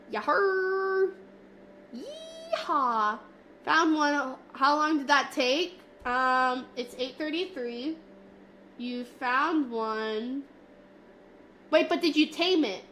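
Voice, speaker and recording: A woman's voice: high voice, lively intonation, extremely slow, sharp tone, a little hiss or hum. A woman streamer with a nasal, stuffy voice from a cold, chatting playfully with her viewers. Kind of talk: casual livestream chat with viewers. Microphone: desk mic, moving closer and farther.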